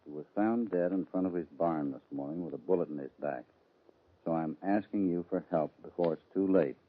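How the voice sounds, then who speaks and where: A man playing a calm, plain-spoken cowboy hero with a dry, easygoing manner in an old-time radio western. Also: low-pitched, a little fast, slightly rough. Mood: earnest. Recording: dull old radio recording.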